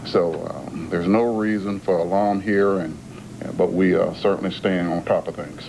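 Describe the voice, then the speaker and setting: A man with a deep voice delivers an official sheriff's update at a press briefing. He speaks in a measured, halting way. There is light noise on the recording, as on an old TV tape. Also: fairly even intonation, creaky. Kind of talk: public briefing at a podium. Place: press briefing at emergency operations center. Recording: faint noise, archived TV broadcast tape.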